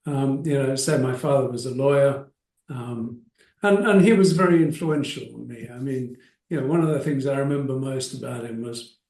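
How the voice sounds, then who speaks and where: A man's voice: medium pitch, normal speed, a little mellow. A middle-aged man with an Australian accent, giving thoughtful, hesitant interview answers as an expert witness with frequent restarts. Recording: echoey room, mic at a distance.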